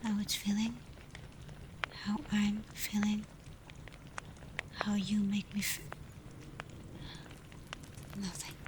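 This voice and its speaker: A woman playing a tender, protective alpha werewolf in an intimate ASMR roleplay, speaking softly and breathily, often in a whisper. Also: medium pitch, monotone, natural voice. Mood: soothing, curious. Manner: slow, gentle, hesitant when confessing feelings. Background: ambient storm noise.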